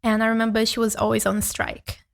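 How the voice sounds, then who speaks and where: A woman's voice: fairly high pitch, monotone, a little fast, vocal fry. A young woman from Brazil whose first language is Portuguese, chatting reflectively as a podcast guest and laughing often. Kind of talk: casual interview chat.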